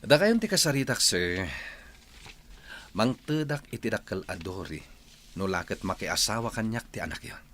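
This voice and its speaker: Radio drama rich master: demanding, haughty, sharp-edged and highly animated delivery. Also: male voice, normal speed, long pauses, slight background noise. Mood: persuasive. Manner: demanding, domineering. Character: arrogant, wealthy, bossy suitor and household master. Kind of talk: Ilocano radio drama acting.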